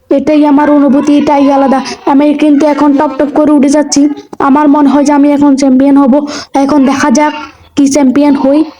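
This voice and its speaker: A self-assured, scheming cartoon driver, played by a woman, boasting through a running self-commentary. The voice is high but soft-edged and mellow. The melody stays fairly flat. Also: lightly acted.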